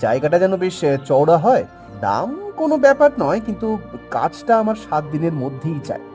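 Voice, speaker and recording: Cartoon city tycoon, brisk and demanding,; warm, swinging voice over light music. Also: male voice, fairly high pitch, sing-song. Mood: businesslike. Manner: businesslike, mixes English words, hesitant "ah"s. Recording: soft background music.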